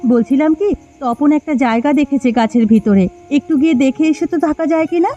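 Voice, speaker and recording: A woman's voice: high-pitched, fairly quick, mellow, soft background music, lightly acted. A woman voicing a patient, practical village mother in a story cartoon, on a dull lo-fi recording. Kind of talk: Bengali moral story cartoon dubbing.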